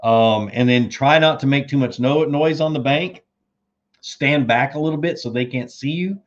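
A man hosts a laid-back fishing chat, answering viewers' questions in an easygoing, conversational way. His voice is mid-pitched and getting hoarse. The audio is a little dull and lo-fi. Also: moderate pace. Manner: laid-back, says dude and man, reads viewer comments aloud. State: losing his voice. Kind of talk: casual live chat.

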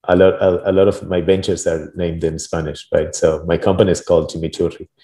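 A man's voice: low-pitched, level tone, a little fast, warm tone. A man from Argentina talking casually as an interview guest, in an informal way, often checking in with "right?". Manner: informal, tentative phrasing with frequent "like" and "right?". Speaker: interview guest, project creator.

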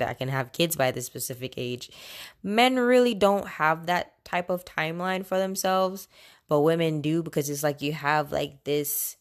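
Low-voiced young woman talking candidly. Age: late 20s. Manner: conversational, candid, opinionated. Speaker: podcast host. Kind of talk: casual personal monologue on faith.

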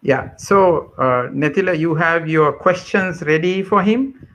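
A man hosting a podcast, introducing his guests in a measured, deliberate way with frequent pauses. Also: fairly high pitch, fairly bright tone. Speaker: podcast host. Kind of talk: formal show introduction.

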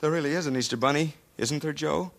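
An actor playing a naive, earnest police partner with a plaintive, worried manner in a comic cop parody. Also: male voice, fairly high pitch, fast talker, fairly bright tone. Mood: worried, pleading.

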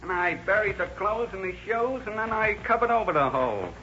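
Young American convict, high and very sharp, plaintive slang, vintage radio crime drama, muffled sound. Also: male voice, animated, a little fast, exaggerated voice. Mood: eager, explaining. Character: sullen, gullible young male jailed criminal. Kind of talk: 1930s radio acting. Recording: muffled, noisy 1930s radio broadcast.

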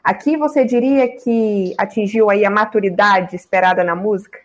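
A woman hosting a radio interview, reading a measured scripted introduction and asking clear questions. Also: medium pitch, steady tone.

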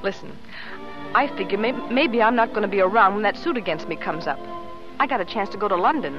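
A bold, flirtatious American showgirl played by a woman from a 1930s movie. Her slangy teasing swings widely in pitch, over clear background music in dull vintage sound. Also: lively intonation, a little fast, lightly acted. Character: brassy, flirtatious, self-assured showgirl, Miss LaRue. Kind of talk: 1930s film acting.

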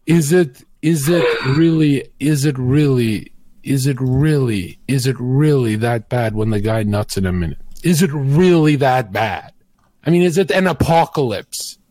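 A man's voice: mid-range voice, unhurried, warm tone. A man chatting on a podcast, joking and interrupting with mock-pompous bluster. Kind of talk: casual podcast chat.

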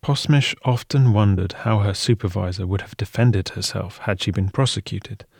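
A man's voice: fairly low pitch, moderate pace, creaky, a little mellow, slightly rough, dry recording, studio quality. A man reading scripted documentary narration as one of the narrators.

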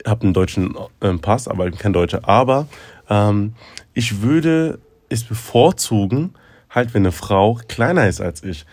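A man's voice: fairly low pitch, expressive, normal speed, no echo. A young man co-hosting a podcast, talking casually and hesitantly with lots of fillers. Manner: hesitant, many fillers, laughs loudly. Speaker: podcast co-host. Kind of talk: casual chat.

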